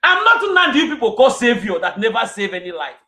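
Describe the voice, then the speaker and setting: A man's voice: extremely high pitch, very animated, fast talker, sharp tone, echoey room. A man with a Nigerian Igbo accent giving an angry, scolding monologue to his followers. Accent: Nigerian Igbo English. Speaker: self-styled king addressing followers. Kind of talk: fiery monologue.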